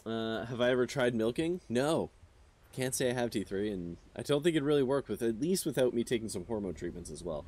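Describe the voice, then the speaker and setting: A man's voice: medium pitch, lively intonation, brisk, darkish tone. A man in his thirties streaming a game, chatting casually with his viewers and rambling with lots of fillers. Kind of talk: casual chat with chat while gaming.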